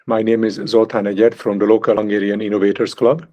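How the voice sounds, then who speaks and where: A man introducing a speaker and explaining logistics as host of an online meeting over a video call, pausing often on uh. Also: on the low side, little pitch movement, dark tone, lo-fi sound. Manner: halting, with many uhs.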